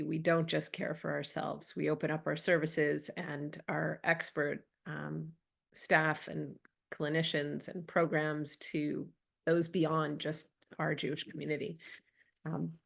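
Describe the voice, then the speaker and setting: A woman's voice: low-pitched, vocal fry. A woman from Montreal giving an informational presentation in a conversational way, with frequent ums and uhs. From Montreal, Canada. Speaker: community organization presenter.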